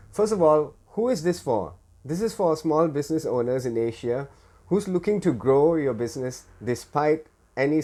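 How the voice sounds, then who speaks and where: A middle-aged man from Thailand and India hosting an informal show, telling personal stories in a halting way with repeated words. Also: mid-range voice, darkish tone. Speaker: podcast host. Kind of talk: informal personal storytelling to listeners.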